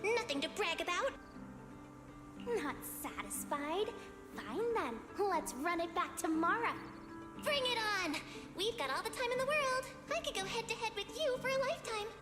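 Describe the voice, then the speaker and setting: Echoing reverb wraps a proud young female rival from an anime-style game. Her voice is extremely high and swoops around dramatically over music. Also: expressive, moderate pace. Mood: gloating, playful. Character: proud, teasing young woman rival navigator, turning bitterly cold.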